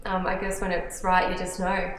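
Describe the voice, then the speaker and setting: A woman's voice: fairly low pitch, monotone, moderate pace, a bit bright. Earnest woman in an echoey room. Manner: earnest, laughs often. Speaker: interview guest. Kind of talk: casual interview chat. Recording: echoey room with faint noise.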